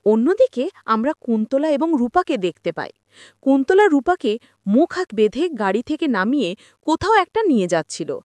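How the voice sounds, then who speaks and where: High-pitched, animated woman narrator in a dry recording. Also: moderate pace, dry recording. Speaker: narrator of TV serial episode recaps. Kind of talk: scripted story recap narration with acted-out dialogue.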